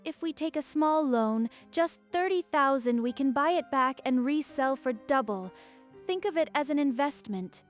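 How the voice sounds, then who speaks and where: A woman's voice: sits high, lively intonation, normal speed, faint music behind. An actress playing a manipulative stepmother who coaxes her husband in a sweet, persuasive tone for a manga dub.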